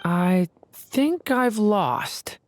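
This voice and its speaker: An actor voicing a gentle, earnest young dragon boy in human form, hesitant and polite, in a video game. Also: mid-range voice, animated, extremely slow, a little hiss or hum. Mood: wistful. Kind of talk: video game dialogue acting.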